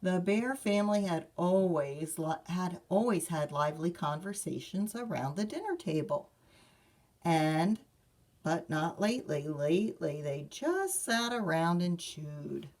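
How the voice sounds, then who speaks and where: A woman reading a picture book aloud to children as a librarian, expressive and measured, with asides to listeners. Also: lowish voice. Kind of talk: children's storybook read-aloud.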